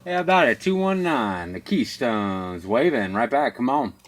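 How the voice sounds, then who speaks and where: A man's voice: medium pitch, sing-song, moderate pace. A man working as a CB radio operator, calling out call signs and slang in clipped, repetitive radio patter. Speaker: CB radio operator making contacts. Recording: noisy CB radio, static behind. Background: radio static and noise.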